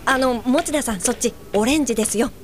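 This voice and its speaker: A woman's voice: a little high, quick pace, long reverb tail, slight background noise, lightly acted. An actress playing a polite, well-meaning but easily flustered female guide in an audio drama. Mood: helpful. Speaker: actor and first-person narrator. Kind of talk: Japanese audio drama dialogue and narration.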